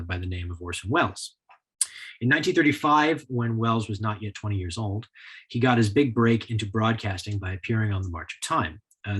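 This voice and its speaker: A man giving a prepared history lecture in a measured, explanatory way, with a husky voice. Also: lowish voice.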